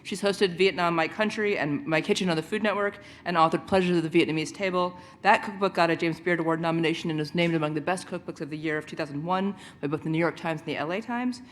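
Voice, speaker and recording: A woman's voice: on the low side, slight background noise. A woman reading a prepared introduction of the next presenter at a conference. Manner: quick, reading a prepared bio. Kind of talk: scripted introduction.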